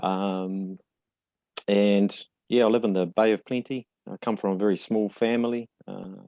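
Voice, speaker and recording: A man in his forties with a New Zealand accent, a guest speaking in a reflective, halting way with many fillers. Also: on the low side, fairly even intonation, vocal fry, highs cut off. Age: 40s. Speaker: podcast guest. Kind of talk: casual interview conversation.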